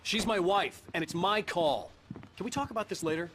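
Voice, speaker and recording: An actor playing a stubborn, desperate husband and thriller hero in a video game, speaking in a curt, insistent way. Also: male voice, sits high, moderate pace, noisy background, close to an everyday voice.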